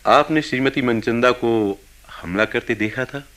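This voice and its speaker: A man's voice: medium pitch, unhurried, fairly bright tone, natural voice. A man acting a police investigator in a radio play, formal, probing and insistent.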